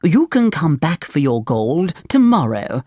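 A woman's voice: low-pitched, sing-song, unhurried, mellow, dry recording, studio quality, exaggerated voice. A woman voicing a sly fox in a children's storybook, coaxing and giving instructions.

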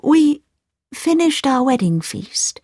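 A woman's voice: sits high, very animated, takes it slowly, mellow, no room sound, clean studio sound, voice pushed up, heavily acted. A woman doing a frightened young princess.

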